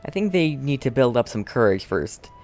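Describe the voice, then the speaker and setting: A woman's voice: rumbling low, very animated, normal speed, crowd noise. A woman streamer chatting casually and playfully over gameplay, giggling and reacting. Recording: voice over game with music.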